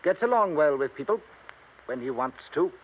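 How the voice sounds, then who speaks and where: An actor playing a formal, guarded older lawyer in a 1950s radio drama, clipped and precise. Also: male voice, on the high side, sing-song, normal speed, husky. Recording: tinny lo-fi 1950s radio broadcast.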